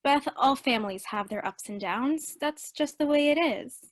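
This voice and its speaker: A woman's voice: high voice, moderate pace, close to an everyday voice. A woman playing a caring teenage older sister in a radio play, reassuring and matter-of-fact.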